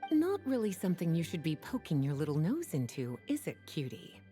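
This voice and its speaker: A woman voicing a poised, wry librarian in a video game who speaks in a languid, gently teasing way. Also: low voice, wildly swooping pitch, a little fast. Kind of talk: game voice acting. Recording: soft game music underneath.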